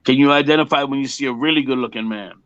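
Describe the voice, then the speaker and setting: A man's voice: mid-range voice, racing, fairly bright tone, clear, resonant tone, no echo. A man in his fifties, a music performer chatting casually in an interview, joking and repeating himself emphatically on muffled lo-fi audio. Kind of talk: casual one-on-one chat.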